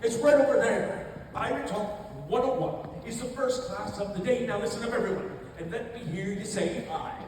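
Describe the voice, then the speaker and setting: A man's voice: moderate pace, hardly any pauses, a little mellow. A man playing a boisterous, bossy pirate teacher who rallies everyone with theatrical calls. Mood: boisterous, commanding. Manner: booming theatrical calls, rallying everyone to repeat. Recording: very echoey noisy hall, crowd, far off.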